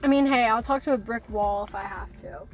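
A young woman co-hosting a casual car vlog, loud and rambling, swearing often, recorded on a phone set down in the car. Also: fairly high pitch, fairly quick, faint music behind, rough recording. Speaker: vlog co-host.

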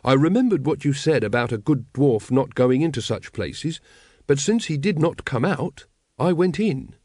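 A man's voice: mid-range voice, expressive, normal speed, darkish tone, no echo, studio quality, lightly acted. A man voicing a naive, earnest young man reading out his letter home, literal-minded, in an audiobook.

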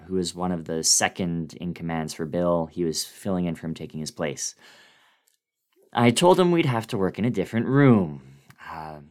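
A man narrating a first-person horror story as a dramatic reading, with theatrical, expressive delivery. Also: deep voice.

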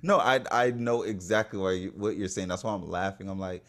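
A young man with a deep, low voice chats casually as a podcast co-host. He listens supportively and fills the pauses with steady "mm-hmm" and "yeah". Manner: supportive listener, many affirmations. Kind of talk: casual chat.

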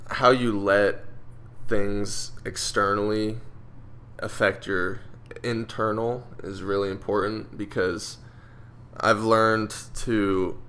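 A man's voice: fairly low pitch, little pitch movement, slow pace, fairly bright tone, a little hiss or hum. A young man co-hosting a casual podcast, speaking in a thoughtful, agreeable way. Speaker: podcast co-host. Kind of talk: casual chat.

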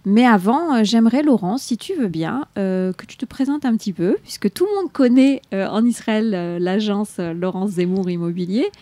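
A woman from France hosting a radio interview, friendly, asking quick questions and backing up her guest. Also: medium pitch, dark tone, no room sound. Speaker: radio host.

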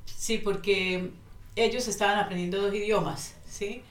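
A woman in her fifties speaks as a podcast guest, thoughtful and hesitant, filling her pauses and checking in with short tag questions. She sits far from the mic, in a roomy space with noticeable echo, and her pitch stays in an ordinary middle range. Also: steady tone. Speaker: guest, economist and Spanish teacher.

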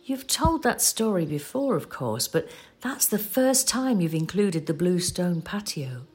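A woman acting in an audio play as a devoted, patient partner, gently retelling a cherished love story at a measured, storytelling gait. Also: on the low side, animated, slightly rough. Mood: gently teasing.

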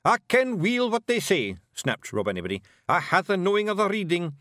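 A man voicing a boastful little male fairy clan leader with a broad Scottish accent, blustering and quick to snap. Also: high voice, big pitch swings, pushed and bright, dry recording, clean studio sound, voice pushed up, full character voice.

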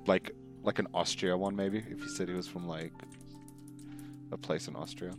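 A young man co-hosting a casual podcast, telling absurd jokes in a dry, deadpan way. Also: low voice, normal speed, music in the background. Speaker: podcast co-host. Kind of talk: casual banter over a game.

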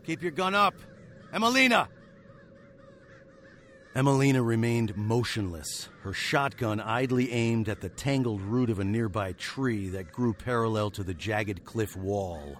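A man's voice: fairly low pitch, lively intonation, a little slow, fairly bright tone, slight background noise. An actor playing a wry fugitive western hero, narrating his tale in a literary, sardonic way for a radio drama. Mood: alarmed.

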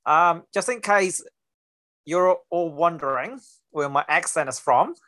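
A man's voice: a little high, expressive, a little slow, bright, forceful tone, no echo. A young man from Singapore with a mixed Singaporean and New Zealand accent, co-hosting a casual podcast chat in a laid-back, joking way full of bro slang. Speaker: podcast co-host.

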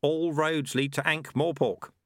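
A man's voice: a little high, fairly even intonation, measured pace, dry recording, studio quality, voice pushed up, lightly acted. A man voicing a wily, irreverent old monk mentor in an audiobook, chatty and colloquial. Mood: dry.

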